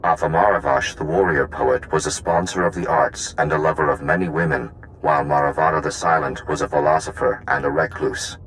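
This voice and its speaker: An actor playing an ancient, dying machine gatekeeper in a video game, solemn and impassive, speaking in formal, clipped reports. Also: male voice, extremely low pitch, fairly even intonation, fairly quick, few pauses, fairly bright tone, slight background noise, low-quality recording.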